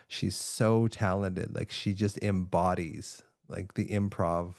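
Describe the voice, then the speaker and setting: A middle-aged man from Canada talks in a calm, reflective, soothing way as a podcast guest. His voice sits low with a gravelly edge, and the sound is dry and close. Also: takes it slowly, no echo. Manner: calm, reflective, soft-spoken with frequent restarts. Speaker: podcast guest, author and neuroscientist. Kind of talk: relaxed remote podcast interview.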